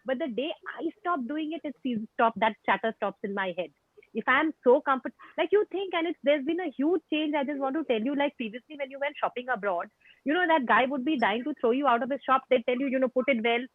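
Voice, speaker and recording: A woman's voice: high voice, expressive, fast, no room sound. Bengali-accented woman on a tinny call. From India. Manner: frank, emphatic, repeats phrases. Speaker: guest speaker on communication skills. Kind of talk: conversational advice on a video call. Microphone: video call. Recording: tinny phone-like call audio, dry.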